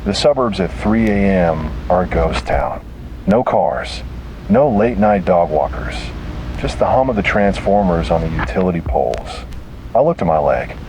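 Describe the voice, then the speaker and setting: A man reads a scripted first-person horror story dramatically, in short deliberate phrases. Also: deep voice, sing-song, a little mellow, slight background noise, rough recording.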